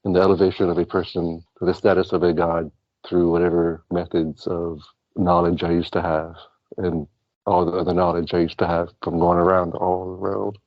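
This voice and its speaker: Low-voiced man, dull lo-fi sound. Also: level tone, normal speed, no room sound. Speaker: talk show guest. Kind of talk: casual rambling talk about past lives and spirituality.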